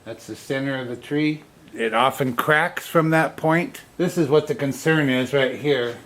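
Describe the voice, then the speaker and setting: A man's voice: mid-range voice, measured pace, a bit bright. A man hosting a woodturning demonstration, talking casually in a folksy, plainspoken way as he explains each step. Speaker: woodturning channel host and instructor. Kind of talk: casual workshop demonstration talk.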